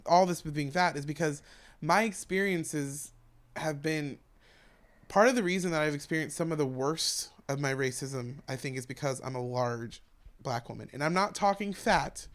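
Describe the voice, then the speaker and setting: A woman with a deep voice, a stand-up comedian talking frankly as a podcast guest in casual conversation. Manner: frank, talks fast in long run-on explanations.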